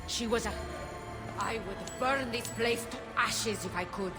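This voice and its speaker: Actress as fierce, weary woman leader, high voice. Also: measured pace, natural voice. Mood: horrified. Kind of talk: dramatic game acting. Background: prominent music.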